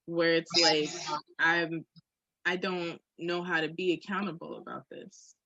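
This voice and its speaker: A woman's voice: deep voice, fairly bright tone, no echo, dull audio. A woman co-hosting a candid podcast conversation, speaking in a reflective, emphatic and informal way. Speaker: podcast co-host.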